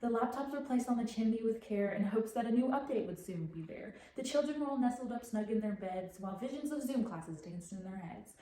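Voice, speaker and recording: A woman's voice: fairly high pitch, expressive, fairly quick, hardly any pauses, mellow, close to an everyday voice. A teenage woman playing a storybook narrator, reciting rhymed Christmas verse in a projected, declamatory way. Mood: storytelling. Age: teens, high school senior. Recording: echoey room, faint background noise.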